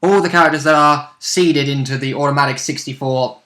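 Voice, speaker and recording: A man's voice: fairly high pitch, fairly even intonation, a little fast, a bit bright. A man chatting casually as guest commentator and player in a role-play game, agreeably chipping in.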